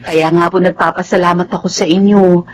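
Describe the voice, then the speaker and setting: A woman's voice: lowish voice, level tone, a little fast, a little hiss or hum, natural voice. A woman playing a humble, weary widowed mother in a radio drama. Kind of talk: Tagalog radio drama acting.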